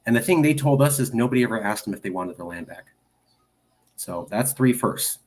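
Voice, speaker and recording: A man's voice: medium pitch, animated, brisk, darkish tone. A man commentating over video clips of his group's work, emphatic and repetitive in a promotional way. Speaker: advocacy group leader.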